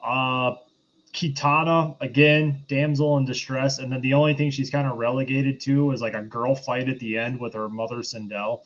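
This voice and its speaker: A man's voice: mid-range voice, little pitch movement, normal speed, fairly bright tone. A man co-hosting a casual film review, critical and informal, on a slightly lo-fi recording. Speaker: podcast co-host. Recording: slightly dull, lo-fi sound.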